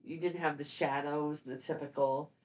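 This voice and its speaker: A woman hosting a casual film discussion, speaking haltingly and often repeating and circling back over her words. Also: low voice, fairly even intonation, a little mellow, muffled recording. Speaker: podcast host.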